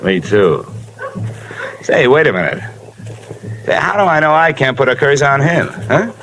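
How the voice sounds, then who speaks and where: An actor playing a wisecracking insurance investigator who narrates a 1950s radio drama in a dry, hard-boiled way. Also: male voice, mid-range voice, sing-song, a little slow, over music, rough recording. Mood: wry. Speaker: narrator and lead.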